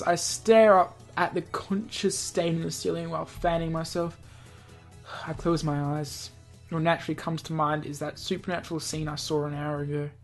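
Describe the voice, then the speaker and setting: Low-voiced woman reading a game story aloud. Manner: stumbles over words and re-reads lines. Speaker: let's player reading a visual novel aloud. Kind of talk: amateur read-aloud narration with offhand remarks. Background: game background music.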